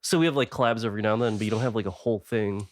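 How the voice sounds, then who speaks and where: A man's voice: on the low side, very fast. A man in a casual podcast chat, giving his opinions in loose, slangy phrasing. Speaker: podcast panelist.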